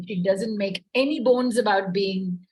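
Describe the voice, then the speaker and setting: A woman's voice: mid-range voice, measured pace, darkish tone, poor audio. A woman speaking thoughtfully as a panelist on a talk show, with many fillers.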